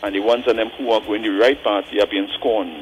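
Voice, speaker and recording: A man in his forties calling in to a radio show, thinking aloud earnestly with frequent pauses and tag questions like "right?". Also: lowish voice, fairly even intonation, muffled recording, slight background noise. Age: 40s. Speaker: caller. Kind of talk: call-in radio commentary.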